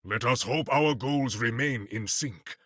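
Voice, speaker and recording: A man's voice: mid-range voice, measured pace, a bit bright, husky, no room sound, studio quality. A man playing a proud, dignified warrior king in a video game, with an African accent and formal, regal phrasing. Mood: proud, solemn. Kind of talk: video game character voice acting.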